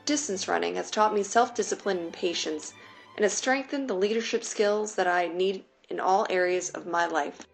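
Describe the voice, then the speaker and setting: A woman in her late twenties from New York City, raised on Mandarin Chinese, reads a personal essay in a measured, deliberate way. Her voice carries a steady vocal fry at a middle pitch, and soft music plays faintly underneath. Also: poor audio. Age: late 20s. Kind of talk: reading a personal essay aloud.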